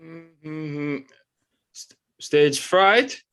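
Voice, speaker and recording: A man's voice: a little high, fairly bright tone, smooth. A man acting as an indignant, fussy court ballet master in an audio drama, pompous and stammering. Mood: defiant, haughty. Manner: halting, stammering, pompous wording.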